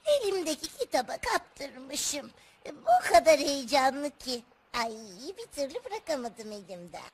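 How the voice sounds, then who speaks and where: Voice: high voice, sing-song, unhurried. An actor voicing a grumpy, sleepy, fussy lady bird in a children's puppet show, muttering and self-pitying. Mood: engrossed.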